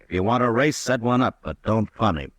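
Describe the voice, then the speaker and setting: An actor playing a steady frontier marshal in a 1950s radio drama, speaking with calm, measured authority. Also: male voice, on the low side, dry recording. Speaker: town marshal.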